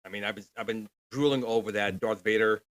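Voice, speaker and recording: A man's voice: lowish voice, fairly even intonation, quick pace, a bit bright, no room sound. A man chatting casually as a panelist on a gaming news show, in a rambling, jokey manner. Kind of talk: casual chat.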